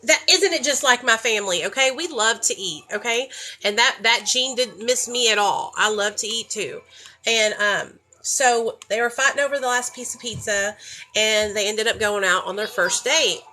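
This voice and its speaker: A woman's voice: fairly high pitch, normal speed, sharp tone. A young woman from the rural United States, candidly telling her personal story to a group and addressing them directly. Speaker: speaker sharing her recovery story.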